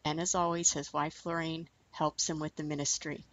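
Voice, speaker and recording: Woman, lowish voice, polished radio host, dull dry lo-fi audio. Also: level tone, normal speed, background noise. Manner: polished announcer delivery. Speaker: radio talk show host. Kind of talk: radio hosting, scripted intro and interview. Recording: dull lo-fi broadcast sound, dry.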